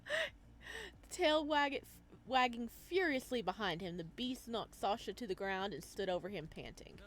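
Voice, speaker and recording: A woman hosting a video game playthrough, reading the story aloud as expressive narration and reacting as she goes. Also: a little high, unhurried, rough recording. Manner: expressive, slips into character voices. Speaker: gaming video host reading a visual novel aloud.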